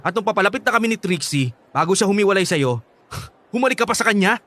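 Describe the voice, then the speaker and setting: Radio drama role: hot-headed, hurting young son, Filipino accent, slightly high voice. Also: male voice, animated, fast, a bit bright. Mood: angry, accusing. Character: angry, wounded young son confronting his father.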